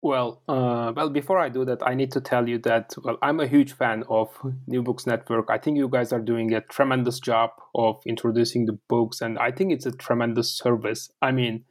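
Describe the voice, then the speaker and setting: Man with a Turkish accent, halting delivery. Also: medium pitch, steady tone. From Turkey. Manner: halting, repeats words while searching for phrasing. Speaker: interview guest, author and academic. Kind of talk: conversational interview answers.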